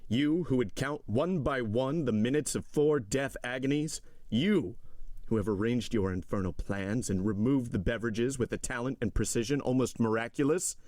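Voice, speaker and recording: A man's voice: lowish voice, moderate pace, a little mellow, dry recording, natural voice. A man voicing a stern, imperious magistrate in an audiobook drama, coldly accusing his wife with harsh, contemptuous command. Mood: contemptuous, rising anger. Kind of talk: dramatic audiobook character reading.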